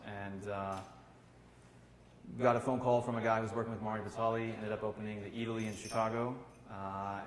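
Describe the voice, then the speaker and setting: A middle-aged American man from Kentucky, a chef talking casually and telling stories as a guest. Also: lowish voice. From Kentucky, United States. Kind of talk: casual conversational storytelling. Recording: roomy, echoey room with faint noise.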